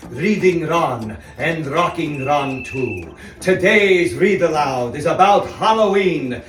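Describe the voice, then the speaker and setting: A man's voice: a little high, a bit bright, echoey room. A man hosting for young children with an exuberant, sing-song presenting manner. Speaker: host and children's entertainer. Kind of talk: children's show presenting and promotion.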